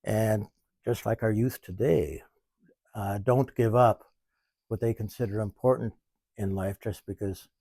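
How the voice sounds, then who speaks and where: A man in his seventies, talking in a measured, thoughtful way and pausing often mid-sentence, in an interview. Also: fairly low pitch, warm tone, no echo. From Canada. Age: 70s. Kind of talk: reflective interview. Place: small museum.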